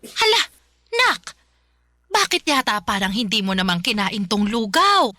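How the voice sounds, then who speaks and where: A woman's voice: fairly high pitch, big pitch swings, measured pace, pushed and bright, full character voice. A woman playing a caring, patient middle-aged Filipino mother in a radio drama. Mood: surprised, hurt. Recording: music bed under the voice.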